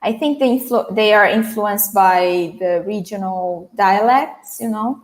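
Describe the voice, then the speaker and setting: A woman's voice: mid-range voice, a little slow. A Brazilian woman with a Brazilian accent, a native Portuguese speaker, chatting casually as a teacher in a live class. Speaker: language teacher.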